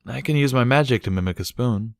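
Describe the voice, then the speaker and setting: A man's voice: medium pitch, a little fast, creaky, clear, resonant tone, no echo, studio quality, voice pushed up, lightly acted. A man voicing a frazzled, reluctant adult male unicorn in a storybook reading, with strained, hesitant delivery.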